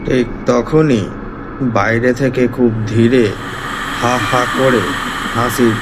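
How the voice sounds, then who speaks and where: A man's voice: medium pitch, fairly even intonation, slow pace, heavy background noise. A man narrating a scripted village ghost story as a horror storyteller.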